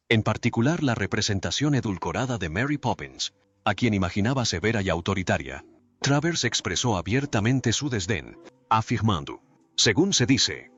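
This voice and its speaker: A man reading a scripted documentary narration in an even, measured way. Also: lowish voice, husky. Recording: dull lo-fi voice-over with faint music. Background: faint background music.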